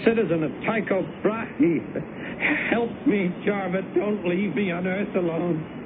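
An anguished, deluded castaway from space in an old radio drama. His voice is raised high and pleading. The broadcast is muffled and thick with noise. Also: a little slow. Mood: desperate. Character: desperate, delusional man pleading as stranded alien. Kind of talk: 1970s radio drama acting.

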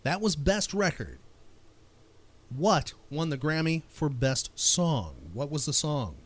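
A man's voice: on the high side, expressive, slow pace. A man co-hosting a podcast, posing trivia questions in an upbeat quizmaster style. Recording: music behind the voice. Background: music.

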